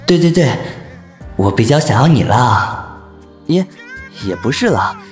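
A man's voice: medium pitch, big pitch swings, unhurried. A man acting a bashful, lovestruck young geek who stammers and hesitates, in a romantic audio drama. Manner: stammering, hesitant, easily embarrassed. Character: shy, flustered, lovestruck geeky young man. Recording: music bed under the voice.

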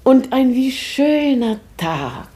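A woman's voice: high voice, very animated, slow pace, background noise, heavily acted. An actress playing a pious, blissfully assured lady of the house in a radio play, rapt and composed. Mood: serene, rapturous. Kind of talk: 1960s German radio play acting.

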